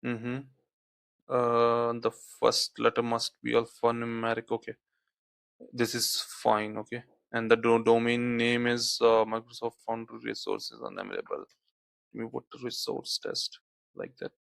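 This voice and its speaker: A man whose first language is Hindi, walking through a software tutorial on screen, peppering his speech with "okay, like" fillers. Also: mid-range voice, a little slow, gravelly, clean tone, dry recording. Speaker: tutorial instructor.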